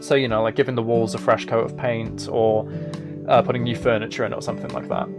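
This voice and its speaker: A man talks casually on a live gaming stream, at a middle pitch. He chats with his viewers and thinks out loud while he plays. Guitar music runs clearly behind his voice. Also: level tone, fast. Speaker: live streamer playing video games.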